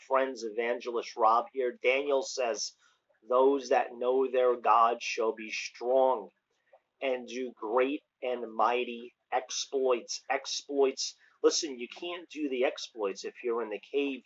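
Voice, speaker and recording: A man's voice: mid-range voice, lo-fi sound. A middle-aged American man preaching informally as an evangelist, exhorting emphatically in colloquial language into a device microphone on a covered porch. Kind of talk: informal online sermon with scripture readings. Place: outdoors on a covered house porch. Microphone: phone or webcam at arm's length.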